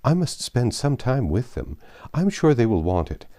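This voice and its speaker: A man voicing a reserved, polite young woman in a classic novel reading, formal and quietly firm. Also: mid-range voice, very animated, moderate pace, voice pushed up. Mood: reluctant.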